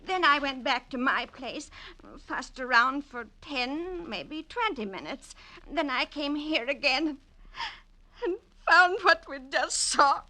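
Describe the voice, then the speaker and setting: A woman's voice: high voice, animated, slow pace, pushed and bright, full character voice. An actress playing a distraught, chatty woman in a vintage radio detective drama, fretful and hesitant, often breaking off mid-sentence. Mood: shaken. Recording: old radio drama recording.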